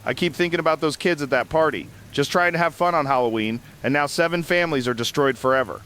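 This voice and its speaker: A man playing a somber, shaken late-night radio call-in host, speaking gravely and earnestly. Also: on the high side, fast talker, fairly bright tone, natural voice. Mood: grave, uneasy.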